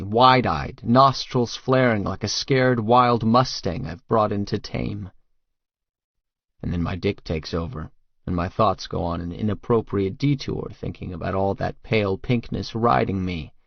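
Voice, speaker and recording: Low-voiced, hard-boiled man acting a brooding tough guy. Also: creaky, no echo, highs cut off. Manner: terse, hard-boiled, profane. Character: tough, crude, brooding male hitman narrator, wry and guarded. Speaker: audiobook narrator. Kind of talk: first-person scripted fiction narration.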